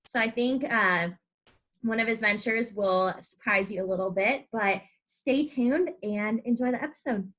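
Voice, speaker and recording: A woman's voice: a little high, a little slow, fairly bright tone. A young woman hosting a podcast in a casual interview style. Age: young adult. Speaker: podcast host.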